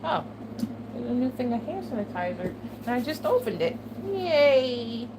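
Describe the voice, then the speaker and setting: Chatty woman over steady background noise. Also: a little high, measured pace. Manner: chatty, swears often, laughs between remarks. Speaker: channel host. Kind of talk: casual chat to viewers.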